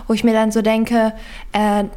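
A woman's voice: fairly high pitch, completely flat delivery, normal speed, mellow, smooth. Thoughtful woman podcast co-host. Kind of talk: casual chat.

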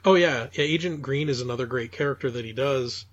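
A man's voice: medium pitch, a little fast. Male co-host, casual chat, agreeable, hesitant, muffled lo-fi sound. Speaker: podcast co-host. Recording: dull, lo-fi audio.

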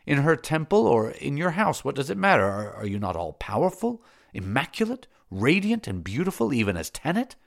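A man's voice: medium pitch, big pitch swings, fairly quick, vocal fry, few pauses, voice pushed up. A man voicing an adoring, fierce mercenary chief in an audiobook. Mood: pleading. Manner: passionate, pleading, adoring. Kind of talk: audiobook character voice.

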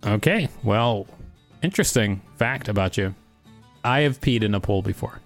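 A man co-hosting a podcast, chatting casually and reading an article aloud with wry, unhurried banter. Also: on the low side, animated, soft background music. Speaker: podcast co-host.

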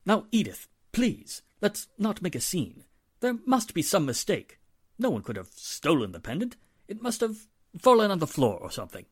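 A man's voice: sits high, wildly swooping pitch, moderate pace, husky, dry recording, studio quality, full character voice. A man playing an apologetic older society patriarch in 1940s radio style, formal, courteous and hesitant. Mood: placating. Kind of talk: 1940s radio drama acting.

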